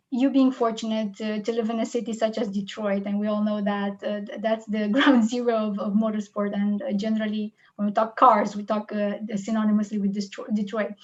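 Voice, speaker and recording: Chatty woman interviewer, slightly high voice. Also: little pitch movement, normal speed. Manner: friendly, chatty, with many fillers. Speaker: interviewer, host. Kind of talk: conversational interview. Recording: slightly dull lo-fi sound.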